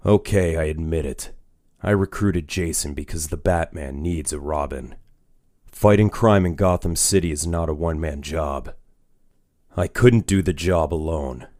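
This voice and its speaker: An actor playing a brooding, guarded older masked crime-fighter in a comic dub, with a grave, measured delivery. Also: male voice, very deep voice, darkish tone, no echo, studio quality. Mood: angry, defensive. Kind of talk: comic dub dramatic acting.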